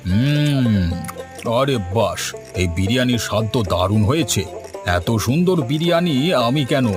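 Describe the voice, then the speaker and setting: A man's voice: medium pitch, expressive, moderate pace, close to an everyday voice. A man voicing a hearty, generous older male landlord in a cartoon. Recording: background music.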